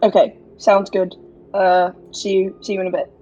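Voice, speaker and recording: A woman's voice: medium pitch, little pitch movement, normal speed, warm tone, highs cut off, a little hiss or hum. A woman playing a tabletop role-playing game, casually describing her character's moves.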